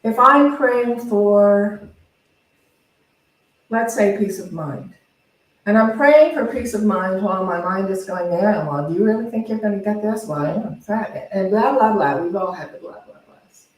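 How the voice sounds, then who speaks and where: A woman's voice: medium pitch, unhurried. A woman minister giving an informal spiritual teaching talk, conversational and self-deprecating, repeating words for emphasis. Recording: very echoey room, distant microphone.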